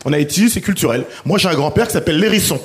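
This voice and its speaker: A man's voice: a little high, animated, quick pace, heavy background noise. A man in his thirties with a Paris-area French accent performing a self-deprecating stand-up routine straight to a live audience. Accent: French, Paris region. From Paris suburbs, France. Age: 30s. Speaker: stand-up comedian. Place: live comedy stage. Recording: live stage show with audience laughter. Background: laughing audience.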